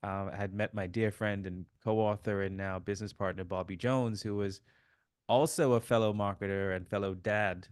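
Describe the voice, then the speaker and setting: A man in his fifties, originally from Sri Lanka, telling his life story as an interview guest, with relaxed fillers. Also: on the low side, normal speed, clear, resonant tone, dry recording, studio quality. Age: 50s. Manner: warm storytelling, reflective, many fillers. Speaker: guest, author. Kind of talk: casual interview chat.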